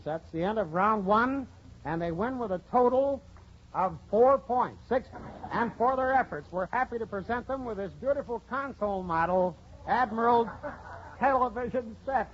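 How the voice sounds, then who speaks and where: A man's voice: high-pitched, expressive, normal speed. A man co-hosting a 1940s radio quiz show with wisecracking banter. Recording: muffled old radio broadcast, faint noise.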